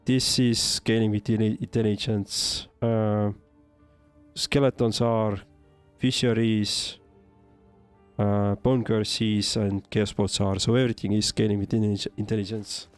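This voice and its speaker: A man with an Estonian accent speaks in a fairly low voice over faint music. He is commentating on a game for a livestream, casually responding to chat. His delivery is halting and hesitant as he works out his thoughts aloud. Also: level tone, clean tone. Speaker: game streamer.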